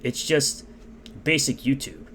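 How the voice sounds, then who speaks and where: A man's voice: mid-range voice, expressive, slow, fairly bright tone, slight background noise. A man reading out and mocking comments in an unscripted, sarcastic rant. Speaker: commentary YouTuber.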